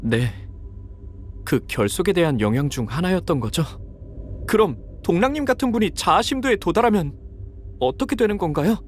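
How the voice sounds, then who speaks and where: An actor playing a gentle, courteous, inquisitive young man in a Korean game. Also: high voice, lively intonation, moderate pace, a little hiss or hum. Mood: curious.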